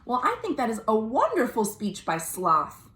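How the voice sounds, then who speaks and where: A woman librarian reading a story aloud to children in an expressive way, pausing to explain things simply. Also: a little high. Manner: expressive, addressing young listeners directly. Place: indoors at home. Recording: roomy, echoey home recording.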